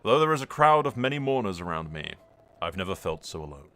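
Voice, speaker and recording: A man's voice: deep voice, big pitch swings, quick pace, bright, forceful tone, full character voice. A man reading the part of a grieving, devoted fantasy prince in solemn, literary first-person roleplay narration. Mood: solemn grief.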